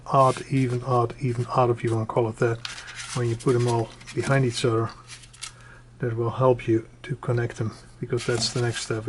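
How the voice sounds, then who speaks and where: A man with a mid-pitched, very even voice talks through a hands-on tutorial. He goes step by step, haltingly, often restating an instruction. The home recording has clear background noise with clicks. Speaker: presenter of a do-it-yourself electronics tutorial. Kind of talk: informal hands-on tutorial explanation.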